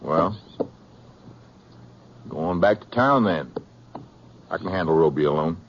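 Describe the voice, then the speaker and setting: An American man playing a surly, defensive frontier sheriff in a 1950s radio western, with gruff, curt rustic speech. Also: deep voice, animated, slow, a little hiss or hum, heavily acted. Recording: muffled old radio recording.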